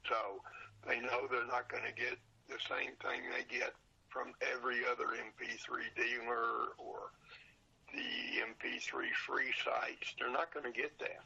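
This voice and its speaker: An older retired man with a raspy, husky voice chatting casually as an interview guest, plain-spoken and repeating phrases for emphasis. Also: medium pitch, level tone, unhurried, pushed and bright, dull audio. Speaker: podcast guest, seller of old radio show recordings.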